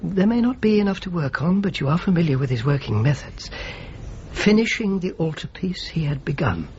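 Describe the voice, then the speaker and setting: Earnest churchman, radio drama, hesitant n thoughtful, higher voice, bit dull sounding. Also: very animated, a little fast, darkish tone, a little hiss or hum. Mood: tentative.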